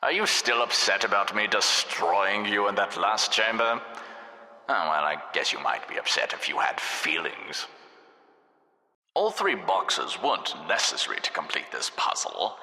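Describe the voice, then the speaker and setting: A man's voice: on the low side, expressive, a little slow, sharp tone, exaggerated voice. A man voicing a smug, sadistic test-lab overseer in a video game, mock-polite, sarcastic and theatrical. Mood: smugly taunting. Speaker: game narrator. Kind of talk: scripted video game narration. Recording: echoey, reverberant hall sound.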